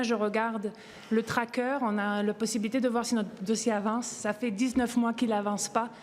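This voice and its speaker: A woman in her forties with a French accent gives a calm, measured testimony. Her voice sits a little high and rings with a long hall reverb. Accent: French (France). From France. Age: 40s. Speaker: press conference speaker. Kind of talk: personal testimony at a press conference.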